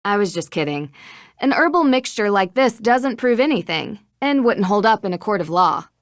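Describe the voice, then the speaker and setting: A woman's voice: mid-range voice, animated, moderate pace, dry recording, clean studio sound, lightly acted. An actress playing a confident, curious young female detective with a friendly, teasing manner in a video game.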